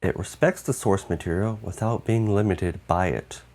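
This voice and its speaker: A man's voice: lowish voice, normal speed, darkish tone. A man co-hosting a video game show in a scripted presentation style.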